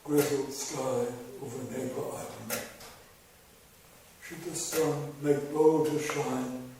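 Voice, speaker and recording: Male poet at a live reading; unhurried, measured recitation, wry between pieces. Recorded from a distance in a big reverberant hall with audible noise. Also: mid-range voice, steady tone. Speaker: poet reading his own work. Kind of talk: poetry reading with spoken asides. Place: large hall before a live audience. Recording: very echoey hall, voice far from mic, background noise.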